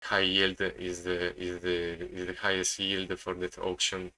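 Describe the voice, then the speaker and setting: A man from Italy with an Italian accent, giving casual live market commentary as a streamer, halting and often repeating himself as he searches for words. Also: low voice, monotone, pushed and bright, low-quality recording. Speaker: day trading live streamer.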